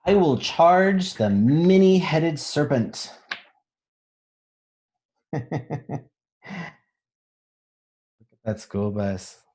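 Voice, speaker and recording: A man reading a children's picture book aloud as a teacher, pausing to think aloud and explain things simply. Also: fairly high pitch, lively intonation, darkish tone. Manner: pauses to pose questions and think aloud.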